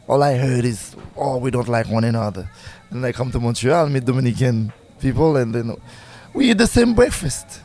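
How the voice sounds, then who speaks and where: A male musician with a Haitian accent talks in a casual interview. His voice sits at a medium pitch. He speaks haltingly, restarting as he searches for the right words. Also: animated, a little mellow. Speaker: musician, singer and bandleader being interviewed.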